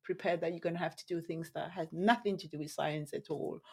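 A soft-voiced woman, a nutritionist, chatting as a podcast guest, animated and quick to laugh, often restarting her sentences. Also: sits low, creaky, husky. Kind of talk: casual interview chat.

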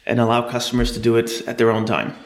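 A man with a mid-pitched, ordinary voice, speaking as a guest on an interview podcast. He explains things in a measured, steady manner, and his voice carries some echo from the room. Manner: measured, explanatory, light laughs. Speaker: startup co-founder, guest. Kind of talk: interview answers. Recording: echoey room.

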